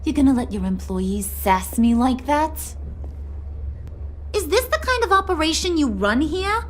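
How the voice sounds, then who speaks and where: A brash game villainess, with a high voice and a taunting, mock-sweet manner. She flirts and threatens in the same sassy breath, over noticeable background noise. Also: big pitch swings, unhurried. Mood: menacing. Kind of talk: dramatic video game acting.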